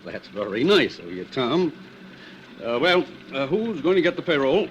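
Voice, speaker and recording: An actor playing a sly crime boss in 1950s radio drama, coaxing and measured with small hesitations. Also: male voice, mid-range voice, very animated, lo-fi sound, natural voice. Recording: noisy old radio recording.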